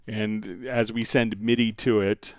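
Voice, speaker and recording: A man hosting a casual software tutorial, thinking aloud and hesitating as he demonstrates. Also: fairly low pitch, flat delivery, slow, dry recording. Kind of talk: casual screen-share software tutorial, live stream.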